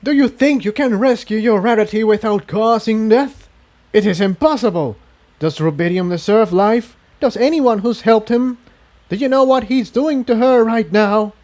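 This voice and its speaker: A man's voice: high voice, normal speed, a little mellow, pitched above the performer's own voice, close to an everyday voice. Man playing a ruthless, imperious alien mind-voice. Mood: fierce, commanding. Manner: imperious, emphatic. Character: ruthless commanding alien presence inside a dragon's mind. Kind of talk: storybook villain voice.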